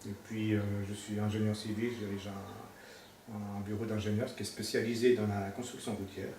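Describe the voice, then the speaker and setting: Man, fifty, lowish pitch, hesitant, testimonial, echoey room. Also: flat delivery, normal speed, a little mellow. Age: 50s. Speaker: civil engineer, volunteer. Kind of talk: filmed testimonial interview. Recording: roomy, distant mic, faint noise.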